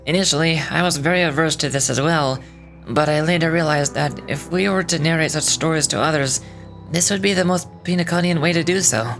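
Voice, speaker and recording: A woman's voice: deep voice, brisk, fairly bright tone, soft background music, pitched below the performer's own voice. A woman voicing a proud, earnest male hound officer in a video game, reading his dialogue in a solemn, formal, storytelling manner. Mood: reflective, wistful.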